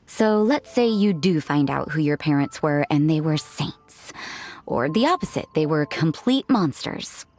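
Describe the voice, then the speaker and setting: A woman's voice: low-pitched, lively intonation, measured pace, a little mellow. A woman acting a cool, cynical, aloof character in a video game, with a dry, detached delivery. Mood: challenging.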